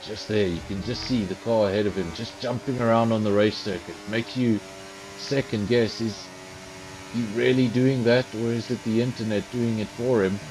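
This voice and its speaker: Male sim racing commentator from South Africa, lowish voice, chatty and self-deprecating, faint noise under the mic. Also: fairly even intonation, moderate pace. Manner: chatty, self-deprecating, addresses viewers directly. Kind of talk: live esports race commentary.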